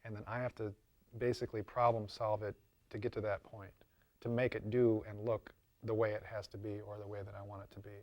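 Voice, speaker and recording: An older man explaining his craft in a casual interview, haltingly and often repeating words. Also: fairly low pitch, flat delivery, a little mellow, no echo. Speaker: interviewed prop and mask maker.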